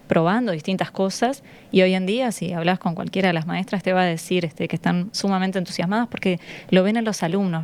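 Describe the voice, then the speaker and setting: Uruguayan woman teacher on radio, lowish voice, explains stuff kinda haltingly. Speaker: schoolteacher, interview guest. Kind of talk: conversational explanation in an interview. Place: radio studio. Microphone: studio microphone.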